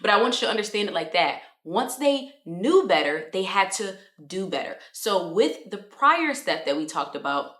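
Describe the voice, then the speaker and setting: A woman's voice: mid-range voice, very animated, moderate pace, fairly bright tone. Chatty young woman in an echoey room. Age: 20s. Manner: direct, chatty, addresses viewers as y'all. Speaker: self-improvement YouTuber. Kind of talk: motivational advice to camera. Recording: roomy echo.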